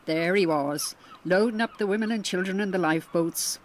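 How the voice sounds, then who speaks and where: An actress playing a chatty, admiring working-class gossip of 1912 in a radio drama. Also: female voice, lowish voice, normal speed, slight background noise, close to an everyday voice. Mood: gossipy, admiring. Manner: colloquial, gossipy.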